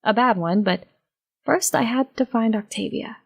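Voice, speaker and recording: A woman's voice: on the high side, normal speed, creaky, slightly rough. A woman reading first-person fiction as narrator, in a wry, conversational manner.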